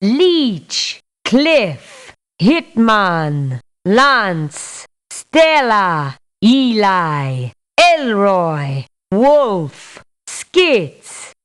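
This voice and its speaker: A woman playing a tough, principled mercenary for hire in a video game, speaking in a blunt, firm, measured way. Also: mid-range voice, sing-song, clean tone. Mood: matter-of-fact. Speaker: mercenary character in a strategy game. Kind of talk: video game voice clips, scripted refusals and barks.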